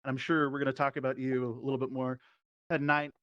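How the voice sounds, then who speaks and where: A man's voice: medium pitch, level tone, fast talker, a bit bright, no room sound. A man who performs and teaches improv, explaining conversationally as a panelist in an online discussion. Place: virtual reality social platform meetup, online. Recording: dull lo-fi online voice chat.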